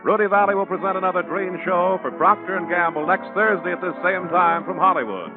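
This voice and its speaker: A man's voice: high-pitched, brisk. A man working as a 1940s radio announcer, delivering advertising copy in a polished, emphatic way. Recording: muffled 1940s radio broadcast with music. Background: music.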